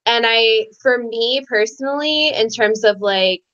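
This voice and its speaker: A woman's voice: fairly high pitch, unhurried, a bit bright. A woman co-hosting a casual book discussion over a call, talking in a tentative, informal way. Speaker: co-host on a readalong live show. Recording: dull lo-fi call audio.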